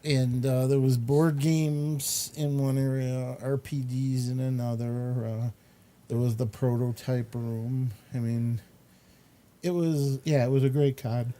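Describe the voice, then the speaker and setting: A man with a soft-edged, mellow voice hosts a casual chat. He talks slowly and haltingly, pausing often, over faint background noise. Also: mid-range voice, steady tone. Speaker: host.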